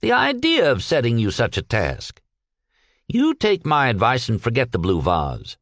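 A man's voice: medium pitch, wildly swooping pitch, unhurried, fairly bright tone, no room sound, clean studio sound, full character voice. A man voicing a blunt, paternal sea captain in an audiobook, exasperated and dismissive. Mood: indignant sympathy. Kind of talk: audiobook character dialogue.

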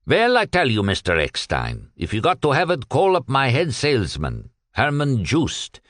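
A man's voice: mid-range voice, wildly swooping pitch, normal speed, fairly bright tone, dry recording, studio quality. A man voicing a fussy older shopkeeper who haggles in a syrupy way, in a storybook reading. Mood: wheedling.

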